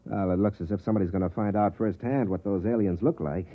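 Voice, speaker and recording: An actor playing a cynical, hard-drinking army space captain in a 1950s radio drama, world-weary and sardonic. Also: male voice, lowish voice, fast, phone-quality sound. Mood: blunt, impatient. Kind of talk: 1950s radio drama acting with first-person narration. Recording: band-limited 1950s radio recording with music.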